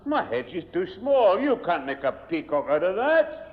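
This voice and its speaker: An actor playing an older grandad in a 1970 radio sitcom, genial but quick to grumble. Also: male voice, sits high, big pitch swings. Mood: grumbling. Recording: tinny noisy old radio, hall reverb.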